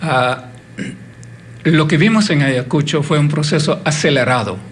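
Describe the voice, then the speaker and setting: A man's voice: fairly high pitch, a little slow, slight background noise. A man explaining as a guest consultant on a television interview, with frequent fillers. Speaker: guest, Bible Society consultant.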